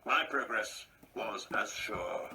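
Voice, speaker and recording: A man's voice: on the low side, animated, takes it slowly, pushed and bright, recorded from a distance, a little hiss or hum, exaggerated voice. An actor playing a proud, insecure older man in a radio play, speaking in a pompous, precise, theatrical way. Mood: proud reminiscence. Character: proud, insecure ageing man, bitterly reminiscing and taunting.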